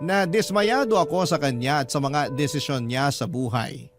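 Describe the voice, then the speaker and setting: A man with a slightly high voice reads a dramatic scripted story as a radio drama narrator. Music plays clearly behind him. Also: moderate pace. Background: music bed.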